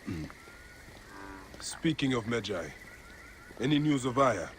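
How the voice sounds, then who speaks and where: An actor playing a brooding Egyptian warrior and vengeful grieving father in a game, speaking gruffly and with measured gravity. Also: mid-range voice, big pitch swings, a little hiss or hum. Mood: grim, questioning. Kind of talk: video game cutscene acting.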